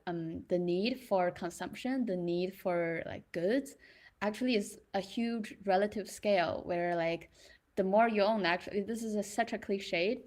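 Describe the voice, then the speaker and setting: A woman's voice: medium pitch, a little mellow. A young woman from China, talking reflectively and casually, with many fillers and restarts as she thinks aloud. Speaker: creator in residency.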